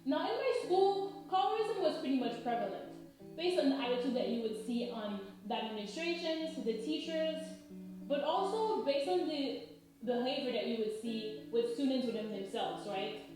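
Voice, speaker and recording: A woman in her thirties from Haiti, explaining in a measured, lecturing way and often checking in with "right?". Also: sits high, expressive, over music. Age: 30s. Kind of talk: explanatory talk. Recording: very echoey room.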